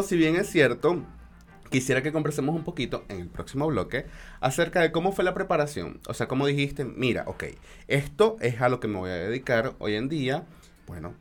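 Male radio host with lively pitch. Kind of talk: conversational live radio interviewing.

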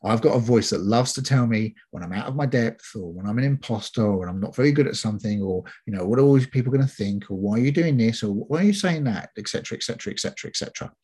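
A man's voice: medium pitch, animated, quick pace, hardly any pauses, warm tone, slightly rough. A man giving an informal, conversational sermon, explaining in a chatty, hesitant way and often repeating himself.

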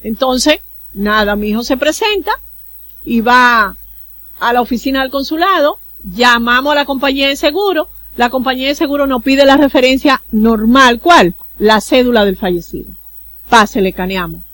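A woman's voice: high voice, moderate pace, a bit bright. A woman from the Dominican Republic, with a Dominican accent, speaking as a consular official in an interview and explaining procedures earnestly with everyday examples. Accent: Dominican Spanish. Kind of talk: radio interview guest, explaining.

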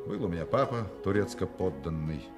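An actor playing a witty, self-assured con artist in a radio play, with an ironic, theatrical and commanding manner. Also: male voice, low voice, animated, brisk. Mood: wistful, ironic. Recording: radio play with music behind.

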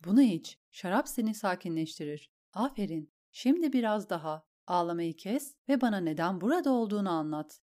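A woman's voice: mid-range voice, animated, brisk, hardly any pauses, dark tone, lightly acted. Woman reading a cold, scornful queen. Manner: imperious, cutting.

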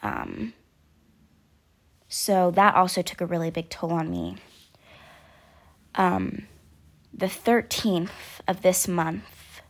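Sniffly teen girl chatting to camera. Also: on the low side. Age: late teens. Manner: chatty, rambling, lots of ums and likes. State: sniffly from allergies. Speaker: vlogger. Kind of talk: confessional casual vlog.

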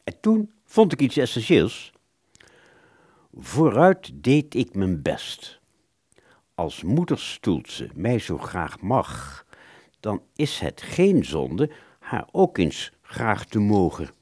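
Roguish male musician: very low, highly animated, theatrical, self-satisfied radio drama storyteller. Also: slow, slightly rough, dry recording, studio quality, heavily acted. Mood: self-pitying, smug. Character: roguish, self-satisfied male clarinettist recounting his exploits. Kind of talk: radio play storytelling in the first person.

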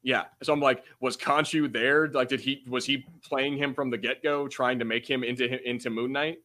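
A man's voice: medium pitch, fairly even intonation, sharp tone. Casual man co-hosting an informal podcast. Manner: informal, sweary, halting. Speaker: podcast co-host. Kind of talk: casual chat, reads out viewer comments.

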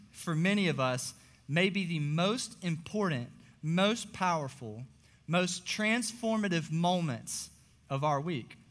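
A young man, a pastor, preaching a sermon in a church auditorium, his voice slightly hoarse, earnest and full of "uh" fillers. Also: fairly high pitch, fairly bright tone. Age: young adult. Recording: long reverb of a large hall.

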